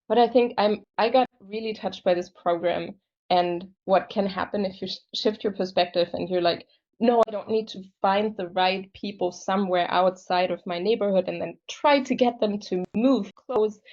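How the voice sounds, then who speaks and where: A woman's voice: mid-range voice, a little mellow. A young woman casually sharing a personal story on a video call. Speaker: program participant telling her story. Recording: slightly dull video-call audio.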